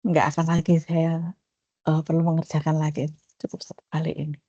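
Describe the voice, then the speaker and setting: A woman, reflecting on her doctoral journey in a personal talk to a research group, with many pauses and fillers. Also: low-pitched, level tone, mellow, no room sound. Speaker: doctoral graduate sharing her experience. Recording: dull lo-fi call audio.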